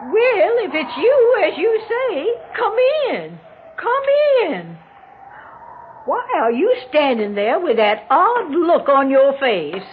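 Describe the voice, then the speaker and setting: An actress in her eighties playing a genteel, gently fussy, affectionate old widowed mother in a 1960s radio drama. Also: sits high, very animated, full character voice. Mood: wary. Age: 80s. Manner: unhurried, gently fussy, theatrical. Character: genteel, calm, affectionate, slightly dotty elderly widowed mother. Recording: muffled, noisy 1960s radio recording.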